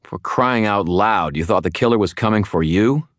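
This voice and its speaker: A man voicing a hot-tempered, sarcastic wizard with a forceful, exasperated manner in an audiobook. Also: on the low side, moderate pace, dry recording, studio quality, pitched above the performer's own voice, close to an everyday voice.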